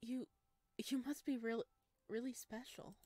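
A woman plays a shy young male forest creature from a visual novel game. He is earnest and eager, stammering over tender words in a fairly high voice, recorded close and dry. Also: fairly even intonation, unhurried, a little mellow, dry recording. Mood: hesitant.

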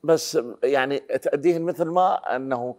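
A man's voice: on the high side, moderate pace, darkish tone. A man in his forties with an Iraqi accent, a talk show host asking probing questions in a studio interview. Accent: Iraqi Arabic. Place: TV studio set, indoors.

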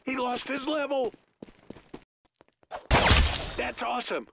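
A woman's voice: sits high, slow, gravelly. A woman delivering short scripted callouts as a game announcer. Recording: quiet voice under loud game noise. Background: game sound effects.

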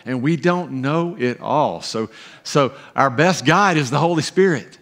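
Middle-aged man preaching from a stage. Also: mid-range voice. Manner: animated preaching with pauses and emphatic rises. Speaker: pastor. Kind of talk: sermon. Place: church stage, indoors.

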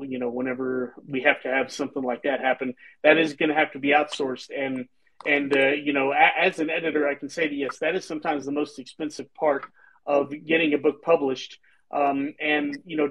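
A man's voice: a little high, husky, rough recording. A man in his thirties hosting a casual video call panel as an editor, talking in long rambling sentences full of fillers and restarts. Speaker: host and publisher's editor. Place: home room with bookshelves. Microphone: video call webcam.

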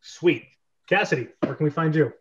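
A man with a slightly high voice hosts a relaxed group chat as podcast host. He talks casually, and the video-call recording sounds dull and lo-fi. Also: moderate pace.

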